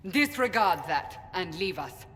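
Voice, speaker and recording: An actress playing a stern, resolute holy warrior in a video game, devout and commanding, speaking in firm, formal declarations. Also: female voice, fairly low pitch, big pitch swings, measured pace, a bit bright, slight background noise. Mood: stern, defiant. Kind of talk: dramatic video game acting. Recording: long hall reverb, music underneath.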